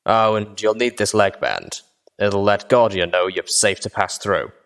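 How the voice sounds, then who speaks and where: A man's voice: fairly low pitch, expressive, unhurried, creaky, fairly bright tone, full character voice. A man voicing a menacing male pony gang lieutenant, sarcastic, curt and impatient, in a storybook reading. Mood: businesslike. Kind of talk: audiobook character dialogue.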